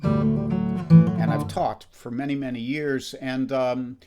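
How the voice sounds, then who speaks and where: A man in his seventies with a croaky voice, chatting casually and in a rambling, self-deprecating way between songs on his live stream. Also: medium pitch, takes it slowly. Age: 70s. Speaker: musician hosting a live stream. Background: acoustic guitar.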